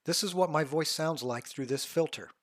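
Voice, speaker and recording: Dry, close man explaining software. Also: fairly high pitch, normal speed, dry recording. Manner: plain step-by-step explaining, occasional "uh" fillers. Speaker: tech product reviewer. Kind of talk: software walkthrough voice-over.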